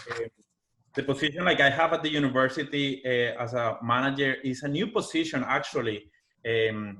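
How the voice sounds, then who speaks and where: A man from Colombia with a Colombian Spanish accent, a university sustainability manager giving a webinar talk in a halting way with restarts. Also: medium pitch, fairly bright tone. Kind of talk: webinar presentation.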